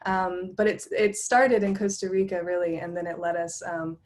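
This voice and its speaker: A woman's voice: on the low side, little pitch movement, normal speed, darkish tone. A woman from Florida, a meditation coach, presenting an online workshop in an upbeat, earnest way, often laughing between phrases.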